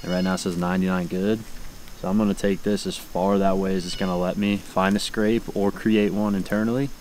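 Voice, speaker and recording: A man's voice: sits low, level tone, fairly quick, a little mellow, slight background noise. A young American man chatting casually to camera as the host of an outdoor vlog. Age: young adult. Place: outdoors in fields and woods.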